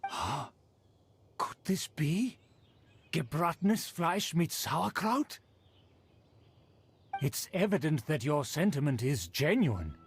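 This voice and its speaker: An actor voicing a pompous, proud middle-aged nobleman in a video game, who speaks formally and slowly. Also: fairly high pitch, big pitch swings, slightly rough, full character voice. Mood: pleased. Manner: pompous, formal and slow-spoken. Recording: game audio with faint music behind.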